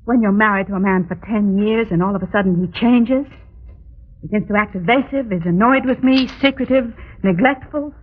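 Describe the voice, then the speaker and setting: A woman's voice: medium pitch, a little fast, natural voice. An actress plays a wronged, anxious wife in a vintage radio play. Her delivery is hesitant and wounded, with theatrical weight. The old recording is thin and narrow, almost like a telephone. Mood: troubled, ashamed. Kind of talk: old-time radio drama acting. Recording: tinny, band-limited old radio recording.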